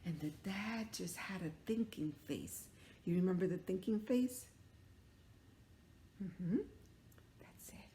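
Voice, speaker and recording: A woman telling a story to young children, dramatic, stretching out words and dropping into hushed tones, with questions to her listeners. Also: on the low side, takes it slowly, long pauses, a little hiss or hum. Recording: echoey room.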